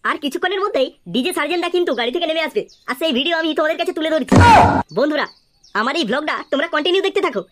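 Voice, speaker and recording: A man acts out an excitable young male cartoon vlogger from a Bengali village, with a West Bengal accent. The voice is very high, pitched up, and races along in naive, boastful exclamations. Clear noise runs through the track. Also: rapid-fire. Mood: eager presenting. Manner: animated, exclamatory. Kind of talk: comedy cartoon dialogue. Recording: clearly noisy track with clicks.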